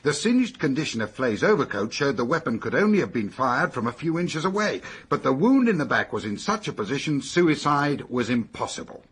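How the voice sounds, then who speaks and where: Brisk British detective, radio mystery; mid-pitched, husky, matter-of-fact yet animated. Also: male voice. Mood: matter-of-fact, intent. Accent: British English. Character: brisk, practical male British police detective.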